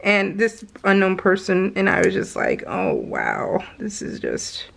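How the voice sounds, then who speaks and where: A woman's voice: mid-range voice, wildly swooping pitch, a little slow, creaky, fairly bright tone. A middle-aged woman chatting casually over a craft video, gushing and slangy, telling stories with plenty of exclamations. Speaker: craft vlogger.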